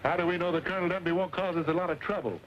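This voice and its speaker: An actor playing a grumbling, petty-thieving Union soldier in an old Western. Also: male voice, sits high, steady tone, rapid-fire, lightly acted. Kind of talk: old Western film acting. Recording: muffled, hissy old film soundtrack.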